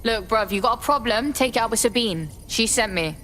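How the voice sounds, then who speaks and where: An actress playing a defiant, slangy young recruit with a London accent in a video game. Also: female voice, fairly high pitch, a little fast, a bit bright, slight background noise, natural voice. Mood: challenging. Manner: slangy, guarded, blunt.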